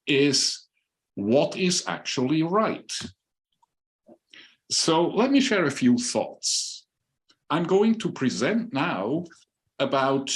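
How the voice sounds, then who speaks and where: Slow older Swiss man presenting. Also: medium pitch. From Switzerland. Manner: slow, deliberate, with pauses and fillers. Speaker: webinar speaker. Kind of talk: presentation over a video call. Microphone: video call.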